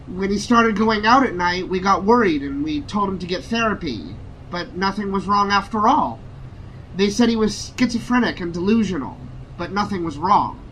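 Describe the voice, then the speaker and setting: An actor playing a grieving young former combat medic in an audio drama, speaking in an emotional, confiding way. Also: male voice, high voice, expressive, normal speed, fairly bright tone, slight background noise, low-quality recording. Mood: bitter, upset.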